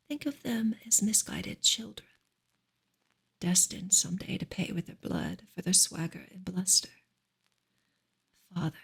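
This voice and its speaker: A woman speaks in a soft ASMR manner, gentle and close to a whisper. Her voice is extremely breathy with a creaky edge, and her intonation stays quite flat at a medium pitch. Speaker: ASMR streamer and reader. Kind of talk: soft-spoken ASMR book reading and chat.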